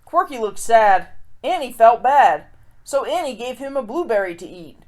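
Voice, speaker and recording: A woman with a fairly high voice hosts a story time for children. She is expressive in her storytelling and chatty delivery, addressing her young listeners directly. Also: normal speed. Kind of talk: read-aloud picture book storytelling and chatty review for kids.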